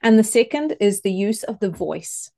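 A woman's voice: medium pitch, expressive, vocal fry, mellow. A woman from New Zealand with a New Zealand accent, a communications coach talking thoughtfully as a podcast guest. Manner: thoughtful, measured, with small restarts. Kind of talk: conversational interview.

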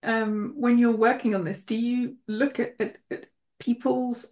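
A woman's voice: on the high side, level tone, a little slow, darkish tone. A woman with an English accent in casual conversation, speaking earnestly with frequent little laughs and restarts.

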